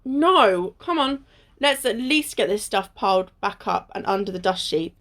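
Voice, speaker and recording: A woman's voice: medium pitch, animated, unhurried, a bit bright. A woman acting a wary, bossy, teasing young adventurer in an amateur radio play. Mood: curious.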